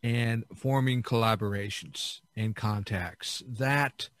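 A man hosting a casual podcast chat, talking haltingly and often restarting his phrases. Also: lowish voice, a bit bright, dry recording. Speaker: podcast host.

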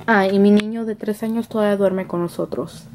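A woman's voice: medium pitch, steady tone, a little fast, background noise. A woman of about thirty chatting casually as a family vlogger and mother, informal and switching between languages. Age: around 30. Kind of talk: casual vlog chat, talking to camera and children.

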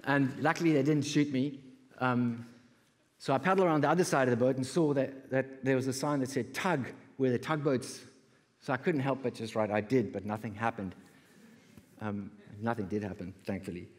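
A middle-aged man with a South African accent giving a stage talk, telling personal stories with pauses and repeated words. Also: mid-range voice, fairly even intonation. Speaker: conference speaker, creative entrepreneur. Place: large indoor auditorium stage. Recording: reverberant auditorium hall.

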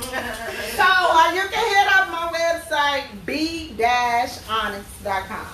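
A woman's voice: high voice, expressive, slow pace. A woman who owns a boutique, joking around with her friends. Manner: playful, joking. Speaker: guest, boutique owner. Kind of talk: casual chat among friends. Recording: loud noise, echoey room, far from the mic.

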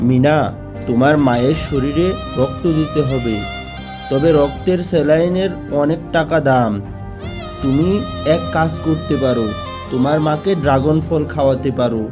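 A man's voice: a little high, normal speed, lightly acted. A man voicing an earnest doctor in a children's cartoon, explaining and advising seriously. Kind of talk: Bengali children's cartoon dubbing. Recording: clear music behind the voice.

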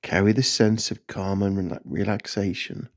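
A man narrating a scripted guided meditation in measured, instructional short phrases. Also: lowish voice, little pitch movement.

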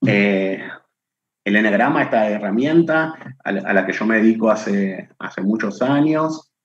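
Slow, low-voiced Argentine man hosting live. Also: expressive, lo-fi sound, a little hiss or hum. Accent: Argentine Spanish. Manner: chatty, greets viewers by name. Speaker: webinar host. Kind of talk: live webinar greeting viewers. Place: home room indoors. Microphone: webcam on video call.

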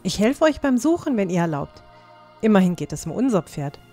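A woman's voice: mid-range voice, big pitch swings, brisk, mellow, faint music behind. A woman playing a confident, eager young dwarf huntress in a fantasy audio drama. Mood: curious, eager.

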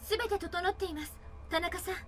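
Very high, polite young female assistant. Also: a bit bright. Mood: polite, composed. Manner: formal, precise, measured. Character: calm, polite, dutiful young female medical research assistant. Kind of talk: Japanese anime audio drama acting.

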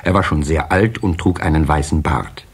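A man narrating a children's fairy tale as a measured, expressive storyteller in an audio play. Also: very deep voice, slightly rough, exaggerated voice. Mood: storytelling.

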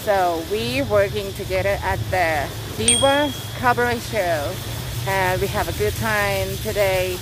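A woman's voice: mid-range voice, measured pace. A woman in her thirties from Thailand with Thai as her first language, vlogging to camera on a beach in simple English, filming on a handheld phone. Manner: simple non-native English, friendly to viewers. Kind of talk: casual vlog to camera. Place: outdoors on a sunny beach. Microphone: phone camera held at arm's length. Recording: outdoor beach noise behind.

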